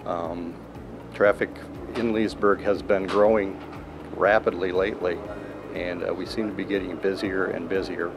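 A man in his fifties, an air traffic control manager, answering interview questions in a control tower in a plain, measured way with many uh's. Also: deep voice, little pitch movement, over music. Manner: plain, measured, fills pauses with uh and um. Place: control tower cab, indoors, large windows. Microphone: handheld mic held by interviewer.

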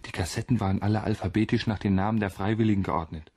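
An actor playing a cocky, mischievous, persuasive young student with a husky voice in a radio play. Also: male voice, fairly low pitch, steady tone, fast, a little mellow. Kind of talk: radio drama acting.

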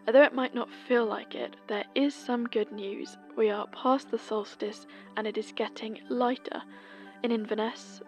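A woman from Britain, host of a folklore podcast, reading a scripted seasonal almanac in a gentle, whispery way. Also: on the high side, vocal fry.

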